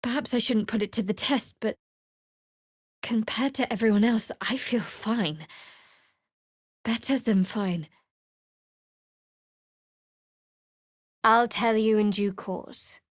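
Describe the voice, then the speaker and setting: An actress playing a guarded, devout young cleric of a dark goddess in a fantasy video game, with a dry, wry, measured delivery. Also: female voice, a little high, no room sound. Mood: wry, appraising.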